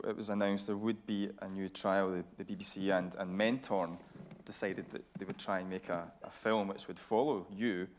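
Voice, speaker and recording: A man's voice: low-pitched, fairly even intonation, moderate pace, hardly any pauses, a little hiss or hum. A man interviewing a guest on stage in a conversational style, as a TV reporter. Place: radio theatre stage.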